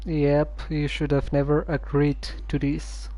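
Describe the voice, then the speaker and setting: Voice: mid-range voice, completely flat delivery, mellow, soft background music, rough recording. A streamer giving casual, musing commentary while playing a story game. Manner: slow, musing.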